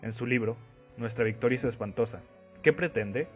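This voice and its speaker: Muffled recording with music behind a man acting as a stern, self-righteous Soviet censor in a radio play. His husky, mid-pitched voice scolds pompously. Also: moderate pace, natural voice. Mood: scolding disapproval.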